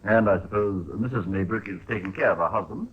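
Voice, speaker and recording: Low-voiced, formal doctor, played by an actor. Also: male voice, natural voice. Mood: probing. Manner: formal, measured, precise. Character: measured, probing Victorian male consulting doctor, formal. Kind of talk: 1950s radio drama acting. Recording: tinny, phone-like old radio recording.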